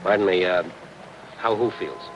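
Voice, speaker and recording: An actor playing an inquisitive, dryly persistent middle-aged newspaper reporter in a 1950s television crime drama. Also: male voice, low voice, normal speed, lo-fi sound, close to an everyday voice. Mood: curious, insistent. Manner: questioning, dry, probing. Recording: noisy old 1950s film soundtrack.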